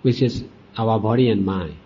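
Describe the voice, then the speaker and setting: A man teaches meditation in a soft-edged, mellow voice that is slightly husky and veiled. He speaks deliberately, in short phrases separated by long pauses. The recording is dull and lo-fi. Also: fairly low pitch. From Myanmar. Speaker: Buddhist monk and meditation teacher.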